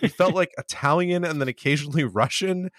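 A man's voice: on the high side, brisk, a bit bright, dry recording, clean studio sound. A man hosting a podcast, recapping an episode in a rambling, chuckling way. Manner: rambling, chuckling, filler-heavy. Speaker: podcast host.

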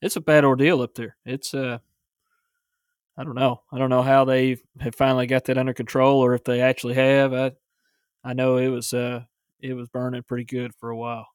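Male podcast co-host, American accent, little pitch movement, close dry sound, casual conversation. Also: little pitch movement, brisk, clean tone, dry recording. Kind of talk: casual chat.

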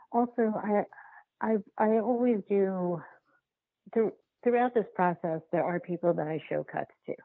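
A woman speaks over a narrow, phone-like line in a lowish voice with a gravelly fry. She talks casually as an interviewed filmmaker, circling back and rewording as she thinks out loud. The sound is close and dry. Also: no echo. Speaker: documentary filmmaker being interviewed.

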